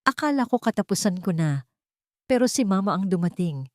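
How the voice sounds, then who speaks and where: A female narrator plays a frightened Filipino woman hiding from a cruel family in an audio story. Her voice is mellow and dark, bitter and pained in a dramatic way. It is recorded dry and close. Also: mid-range voice, expressive, brisk, no echo, natural voice.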